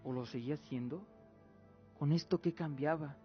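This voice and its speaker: A man's voice: a little high, moderate pace. A man giving dramatic scripted narration as the narrator of an audio drama. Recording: dull lo-fi recording with music. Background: music.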